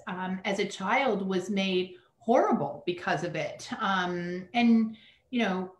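A middle-aged woman, an ADHD coach as guest, speaking in an affirming, emphatic way over a video call from a home office. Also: medium pitch. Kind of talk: interview answer. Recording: roomy video-call sound.

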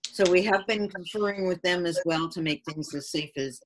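A woman's voice: on the low side, moderate pace, a little hiss or hum, rough recording. A woman town councillor talking informally on a video call, with hesitant, loosely structured phrasing. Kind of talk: informal meeting discussion. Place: remote video call from a room.

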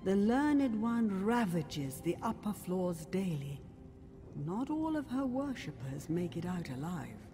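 Skeptical mage scholar in a video game, slow formal talk, lilting up n down, music behind. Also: female voice, medium pitch, sing-song, noisy background. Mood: measured. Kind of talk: fantasy video game acting.

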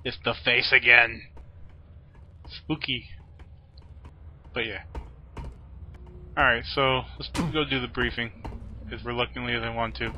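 A man's voice: medium pitch, little pitch movement, measured pace, pauses often, lo-fi sound, slight background noise. A man giving casual let's play commentary as host, with offhand asides to the game. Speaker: gaming video host.

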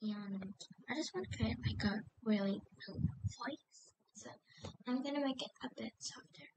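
Female video creator: high pitch, informal chat, unsure, self-correcting manner. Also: unhurried, darkish tone, no echo, low-quality recording. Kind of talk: casual chat.

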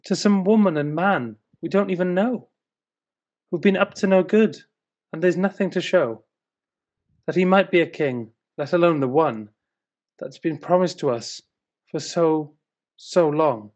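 A man's voice: on the high side, a little slow, pauses often, a little mellow, muffled recording. A British man playing a grumpy, sleep-deprived, sceptical husband in a dramatic verse reading, grumbling with sarcasm. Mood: incredulous, scornful.

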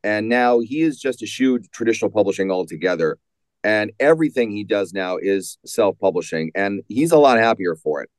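Low-voiced man, emphatic, close dry sound. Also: expressive, normal speed, no echo. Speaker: author and guest. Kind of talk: conversational interview answers.

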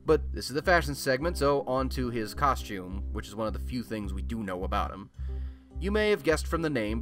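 Animated, wry man playing a radio host. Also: mid-range voice. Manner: wry, conversational, mildly sweary. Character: chatty, irreverent male radio host in a superhero city. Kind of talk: casual scripted radio monologue.

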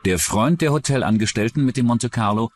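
A man's voice: mid-range voice, expressive, brisk, a little hiss or hum. A man narrating a scripted true-crime documentary as its narrator.

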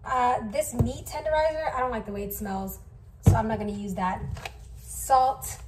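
Young woman rambling thru a cooking vlog, kinda high voice, phone sitting back on the counter, noisy. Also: steady tone, normal speed. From Somali family. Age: young adult. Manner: chatty, rambling, filler-heavy. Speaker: vlogger. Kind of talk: casual cooking vlog chat. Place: home kitchen, indoors. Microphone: camera on counter, distant. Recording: distant camera mic, noisy.

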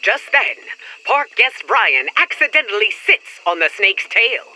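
An actor playing a dramatic, upbeat announcer, narrating an old-time radio serial in a theatrical, suspenseful way. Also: male voice, high voice, sing-song, a little slow, sharp tone, no echo, heavily acted.

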